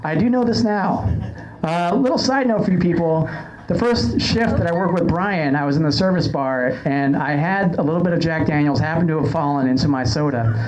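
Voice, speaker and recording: A woman's voice: low voice, a little fast, a little mellow. A woman hosting a casual comedy podcast, telling anecdotes in a wry, sarcastic way. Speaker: podcast host. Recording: clearly noisy background.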